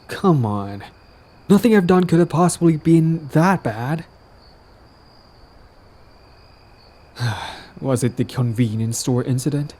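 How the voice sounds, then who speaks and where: A man's voice: on the high side, animated, measured pace, warm tone. A man playing a smug, possessive young villain in a romantic audio drama, talking in a casual, teasing, cajoling way. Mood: defensive, exasperated. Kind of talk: roleplay audio drama, conversational acting.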